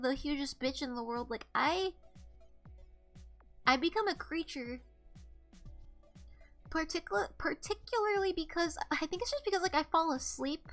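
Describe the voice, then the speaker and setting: A woman's voice: high voice, fairly bright tone. A young woman with a slightly nasal voice, chatting casually on a live stream, rambling and swearing with lots of "like" and "bro". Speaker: streamer host.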